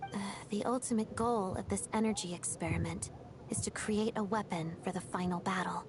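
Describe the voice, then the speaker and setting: A woman playing a melancholy young ballet dancer in a video game, speaking softly and breathily in a restrained, reflective, measured way. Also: medium pitch, background noise, close to an everyday voice. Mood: calm, explanatory. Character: melancholy young female ballet dancer and former Fatui trainee. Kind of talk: video game story dialogue.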